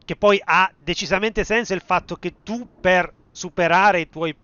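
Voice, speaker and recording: High, bright-voiced excitable Italian man streaming. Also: normal speed, clear, resonant tone, dry recording, highs cut off. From Italy. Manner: excitable, sweary reactions. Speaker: game streamer. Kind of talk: casual live gameplay commentary. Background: game music.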